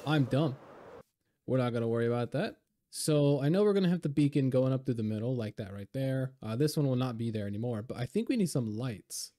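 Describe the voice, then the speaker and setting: A man talking casually over gameplay, hoarse and a little raspy from being sick, rambling with lots of fillers. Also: mid-range voice, brisk. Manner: relaxed, rambling, many fillers. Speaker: game video creator.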